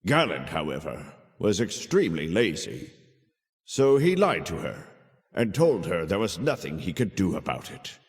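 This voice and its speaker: An actor playing a kindly older storyteller who tells a child a fairy tale in a theatrical, measured way for a video game. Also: male voice, deep voice, sing-song, long reverb tail. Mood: measured storytelling. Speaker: storyteller narrator. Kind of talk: dramatic fairy-tale narration.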